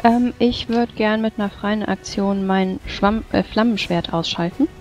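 A woman's voice: medium pitch, a little slow, darkish tone, soft background music. A woman chatting casually as a player in a tabletop role-playing game. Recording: dull lo-fi voice-chat audio.

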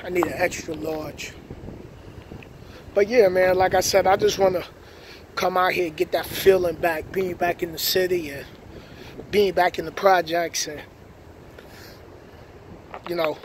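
A man's voice: high-pitched, fairly even intonation, unhurried, long pauses. A middle-aged man with a New York accent, casually vlogging while walking outdoors at night, talking in a laid-back, reflective way with street slang, recorded on a phone held at arm's length. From New York City. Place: outdoors at night on city streets and housing project grounds. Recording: outdoor street noise with passing traffic.

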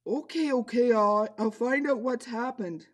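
A woman playing a bold male puppet disciple, telling a children's story in an earnest, expressive way. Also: fairly high pitch, a little slow. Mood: alarmed. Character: bold, eager puppet disciple Simon Peter, a man.

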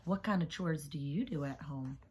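Slightly husky woman reading to kids. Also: low voice, a little mellow. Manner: pauses to put questions to young listeners. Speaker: teacher reading a picture book aloud. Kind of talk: children's read-aloud. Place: small room indoors, seated on a couch by a window.

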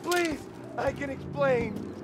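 A man's voice: extremely high pitch, lively intonation, slow pace, background noise, heavily acted. An actor playing a terrified gangster traitor begging for his life in frantic cries, in a crime drama game. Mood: terrified, pleading.

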